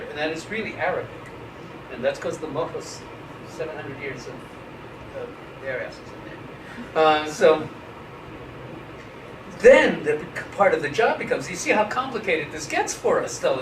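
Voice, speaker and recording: Frank fifties man in an echoey room. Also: sits high. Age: 50s. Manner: frank and wry, loose with mild swearing, frequent restarts. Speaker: interviewee, writing scholar. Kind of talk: relaxed academic interview. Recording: echoey room, mic at a distance, noisy.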